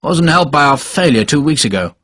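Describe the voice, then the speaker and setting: A man voicing a short, pious older cavalry major who speaks gruffly and brusquely in clipped commands. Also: a little high, expressive, a little fast, a bit bright, no room sound, clean studio sound, pitched above the performer's own voice. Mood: explaining. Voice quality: gruff, brusque, barking laugh. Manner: clipped commands, fervent and impatient. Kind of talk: audiobook character voice.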